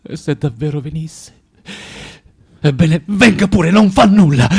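A man's voice: on the high side, creaky, darkish tone, breathy edge, full character voice. An actor playing a bitter, tormented man in a radio drama, swinging between menacing mutters and dramatic outbursts. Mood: anguished, self-loathing. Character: bitter, tormented man, cruel then self-loathing. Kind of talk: theatrical radio drama acting.

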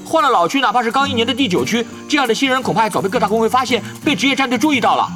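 A man acting in an audio drama as a cocky, talkative young gamer who chatters excitably and nags. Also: very high voice, faint music behind. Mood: excited, pressing. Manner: chatty, rapid-fire, repeats himself.

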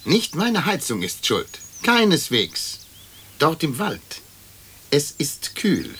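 A man's voice: a little high, wildly swooping pitch, slow, a bit bright, slight background noise, heavily acted. An actor playing an indignant, self-righteous tradesman and creditor, querulous and insistent, in a radio play. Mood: sly, insinuating. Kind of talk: German radio play acting.

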